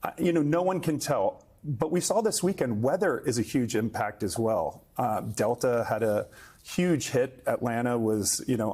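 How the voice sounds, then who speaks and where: Slightly husky male news commentator. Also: medium pitch, darkish tone. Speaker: travel expert, news guest.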